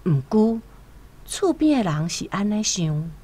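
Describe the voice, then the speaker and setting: A woman with a Taiwanese accent narrates a scripted story for radio in an expressive, deliberate, dramatic way. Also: medium pitch, breathy edge, no room sound. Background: music.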